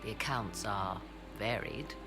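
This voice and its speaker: An actress playing a learned, aloof sorceress in a fantasy game, speaking in archaic, measured, theatrical phrases. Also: female voice, a bass voice, a bit bright. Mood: skeptical. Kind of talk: scripted fantasy game dialogue. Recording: music playing behind the voice.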